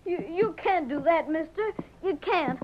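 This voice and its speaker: An actor playing a frightened young frontier boy on the run in a 1930s radio drama, pleading and halting in rustic speech. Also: extremely high pitch, lively intonation. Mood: anxious, pleading. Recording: crackly muffled 1930s radio recording.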